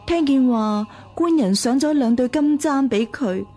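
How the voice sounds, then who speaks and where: An actress playing a jealous, sly, gossiping concubine in a radio drama. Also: female voice, high-pitched, animated, unhurried, mellow. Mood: spiteful, gossiping. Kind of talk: Cantonese radio drama acting.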